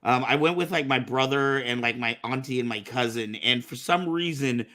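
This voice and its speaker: A man from the United States, born in Vietnam, hosting a casual chat in an excitable, slangy way. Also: mid-range voice, moderate pace. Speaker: talk show host.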